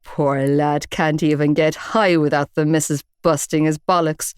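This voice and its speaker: A woman's voice: low voice, fairly even intonation, a little slow, smooth, no room sound, clean studio sound, voice pushed down. A woman voicing a mocking young male gangster who snickers and sneers in a storybook reading.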